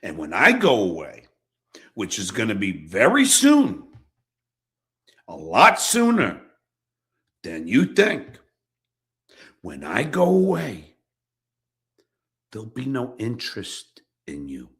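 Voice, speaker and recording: Very slow-talking man on an angry rant. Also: on the low side, wildly swooping pitch, a bit bright. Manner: confrontational, profane, emphatic with dramatic pauses. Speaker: online show host. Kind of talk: angry personal rant addressed to an absent rival.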